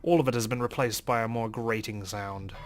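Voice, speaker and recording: A man's voice: mid-range voice, steady tone, brisk. A man reading a visual novel aloud as a let's play host, in dramatic, theatrical first-person narration.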